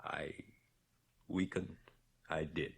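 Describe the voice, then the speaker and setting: An actor playing a grave, haunted general who grieves his daughter and hunts her killer, halting and solemn in radio horror drama style. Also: extremely low pitch, fairly even intonation, vocal fry, husky, exaggerated voice. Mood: haunted, regretful.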